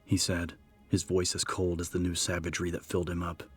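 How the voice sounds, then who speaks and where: A man narrating dramatic horror fiction in a grave, measured manner. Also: sits low, completely flat delivery, fairly bright tone, slightly rough. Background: prominent background music.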